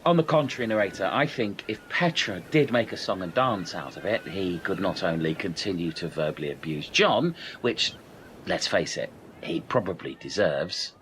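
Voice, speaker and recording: A man's voice: low-pitched, expressive, moderate pace, fairly bright tone, a little hiss or hum. A man playing a dry, meddling castaway in a comedy radio play, wordy and mock-reasonable.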